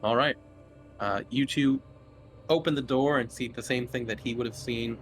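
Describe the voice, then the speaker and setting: A man's voice: mid-range voice, moderate pace, faint music behind. A man running a tabletop horror game as game master, casually improvising scene descriptions in a conversational way.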